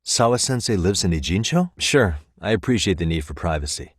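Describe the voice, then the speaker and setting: A man acting a determined, streetwise private detective in a video game, with an American accent and a measured, probing, bluntly persuasive way of talking. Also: low voice, animated, husky, no echo, studio quality. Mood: polite pressing.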